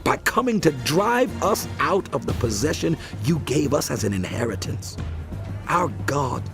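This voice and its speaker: An impassioned, gravelly, pleading king. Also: fairly high pitch, big pitch swings, measured pace, full character voice. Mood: anguished pleading. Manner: impassioned, declamatory, imploring. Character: pleading devout king of Judah, fervent, anguished. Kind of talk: dramatized audio Bible acting. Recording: music behind the voice.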